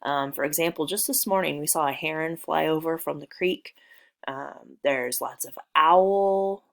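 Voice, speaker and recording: A woman from Missouri with a notably low voice, teaching a lesson she recorded at home. She talks in a friendly, unhurried way with plenty of ums as she explains things to her students. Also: creaky. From Missouri, USA. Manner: friendly, relaxed, with frequent ums. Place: working from home.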